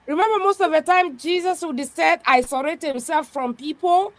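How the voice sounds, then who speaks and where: A woman's voice: high-pitched, moderate pace, no echo. A woman pastor speaking earnestly in a conversation, with a strong voice, who often adds "yeah" as she talks. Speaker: pastor, guest. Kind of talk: podcast conversation, reflective.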